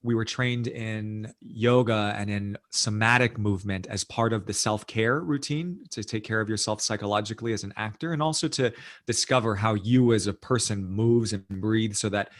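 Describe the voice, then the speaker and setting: A man from the United States, a guest author, recounting his life in a thoughtful, measured interview, often adding "you know" and "right?". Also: on the low side, a bit bright. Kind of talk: reflective storytelling in interview.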